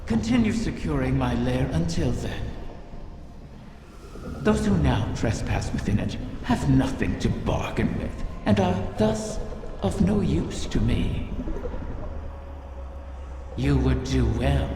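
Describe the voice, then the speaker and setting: Give me a slow, cavernous, gravelly ancient dragon. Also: medium pitch, big pitch swings, noisy background. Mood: menacing. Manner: slow, deliberate, imperious, veiled threats. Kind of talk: video game dramatic dialogue. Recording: cavernous echoing reverb over loud music.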